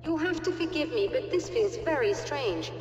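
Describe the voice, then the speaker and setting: A woman's voice: high voice, animated, moderate pace, darkish tone. A woman playing an uneasy, puzzled scientist in a video game, hesitant and thoughtful. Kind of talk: video game dialogue acting. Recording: echoey hall, muffled, music behind.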